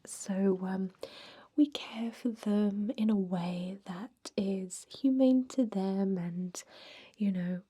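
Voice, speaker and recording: A chatty, cynical female vampire, played by a woman in an ASMR roleplay. She speaks in a soft, breathy whisper with a mellow tone and an unhurried pace. Her manner is casual and gossipy, like a co-worker showing a newcomer the ropes. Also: mid-range voice. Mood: gossipy, matter-of-fact. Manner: casual, gossipy, hesitant filler-laden asides.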